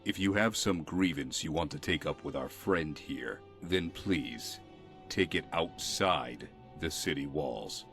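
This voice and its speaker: A man's voice: a bass voice, slow pace, creaky, a bit bright, faint music behind, full character voice. A man acting a weary, stern city guardsman in a video game, dry and exasperated.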